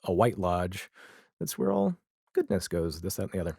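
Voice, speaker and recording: Male podcast guest, lowish voice, dry close recording, casual and halting storyteller. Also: wildly swooping pitch, vocal fry, dark tone, husky, dry recording. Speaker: podcast guest, audio drama creator. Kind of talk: casual chat.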